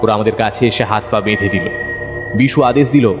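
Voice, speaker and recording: A man narrating a detective audio story in a dramatic, suspenseful, expressive scripted style. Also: fairly low pitch, a little fast. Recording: long reverb, music under narration.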